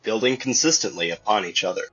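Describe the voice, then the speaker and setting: A man with a low, husky voice explains plainly from a script. His tone is bright, but the recording is dull and lo-fi. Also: fast talker, soft background music. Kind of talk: scripted announcement reading.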